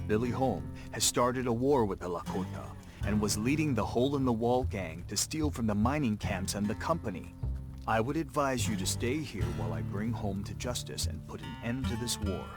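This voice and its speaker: A man's voice: fairly low pitch, animated, normal speed, music in the background, close to an everyday voice. An actor playing a principled, defiant young American frontier man in a game cutscene, firm and earnest. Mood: formal, firm. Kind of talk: video game cutscene acting.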